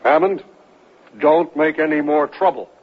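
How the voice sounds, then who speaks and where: A man's voice: a little high, steady tone, a little slow, breathy edge. An actor playing a stern, impatient man of authority in a suspense radio drama, clipped and commanding, on a muffled old broadcast.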